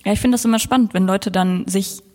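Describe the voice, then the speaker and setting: A podcast host asking questions in a relaxed, joking way. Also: mid-range voice, fast talker, long reverb tail. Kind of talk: casual chat.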